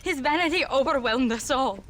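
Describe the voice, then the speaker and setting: A woman's voice: high voice, expressive, moderate pace, fairly bright tone. An actress playing a fervent, fearful Mexican townswoman who speaks ominously, in a video game. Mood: anguished, doomed. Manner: fervent, dramatic. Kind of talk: video game cutscene acting.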